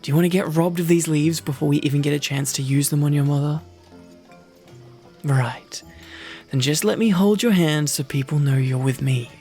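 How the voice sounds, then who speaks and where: A man playing a prickly, easily flustered wolfkin mercenary in an ASMR roleplay, gruff and sardonic, secretly protective. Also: on the high side, moderate pace, mellow, faint music behind, lightly acted. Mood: bossy, protective.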